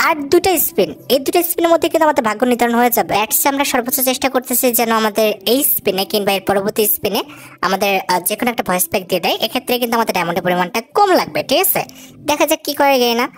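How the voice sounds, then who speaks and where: Very fast-talking Bangladeshi woman gamer over music. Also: fairly high pitch, lively intonation. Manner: chatty, addresses viewers directly with casual asides. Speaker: gaming video host. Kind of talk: casual gameplay commentary. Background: background music.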